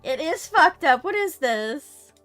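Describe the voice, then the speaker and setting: A woman chatting casually and reacting on a live game stream, profane, teasing and expressive. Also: high-pitched, slow pace, faint music behind. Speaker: streamer co-playing a visual novel.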